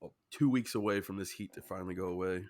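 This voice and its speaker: A man's voice: low voice, fast. A young man hosting a casual podcast, joking around and getting over a sinus infection. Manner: jokey, says "y'all" a lot. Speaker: podcast host. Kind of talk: casual chat.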